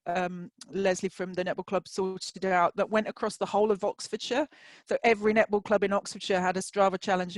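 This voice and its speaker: A British woman co-hosts a casual discussion in a mid-pitched voice. The sound is very dry, with no room around it. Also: no room sound. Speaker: podcast co-host.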